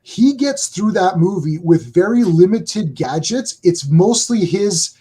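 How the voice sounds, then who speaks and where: A man's voice: high voice, lively intonation, measured pace, dark tone, breathy edge. A man hosting a casual podcast chat, emphatic and opinionated about films. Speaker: host.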